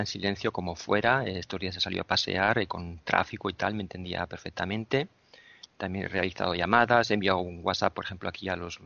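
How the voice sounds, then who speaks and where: A man with a Spain Spanish accent talks through a gadget in a patient, explanatory way, pausing on small "eh" fillers. His voice sits low and has a gravelly rasp. It comes through dull, lo-fi online call audio, close and dry. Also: fairly bright tone, dry recording. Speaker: tech meetup member. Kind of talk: casual gadget review over a group call. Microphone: video call.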